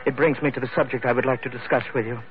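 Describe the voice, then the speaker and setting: A man's voice: medium pitch, fairly even intonation, rapid-fire, lightly acted. A man playing an aggrieved, pompous, wheedling merchant with flowery formal speech in a vintage radio drama. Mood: wheedling, earnest. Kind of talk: 1940s radio drama acting. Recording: muffled vintage radio recording, faint music.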